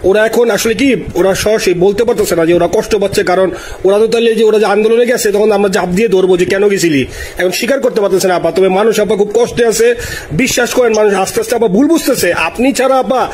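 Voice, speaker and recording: A man's voice: sits high, fast, a little mellow, husky. A man with a Bangladeshi accent speaks deferentially and eagerly in an informal phone call, often repeating himself. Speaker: party supporter on a call to the leader. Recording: slightly noisy phone call recording.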